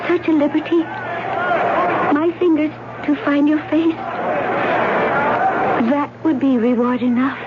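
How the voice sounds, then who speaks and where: A woman's voice: high-pitched, a little slow, pauses often, phone-quality sound. An actress playing a blind young slave woman, devoted and anguished, speaking earnestly and formally in an old-time radio drama. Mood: tender, humble. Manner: earnest, formal, emotional delivery. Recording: noisy, band-limited old radio recording.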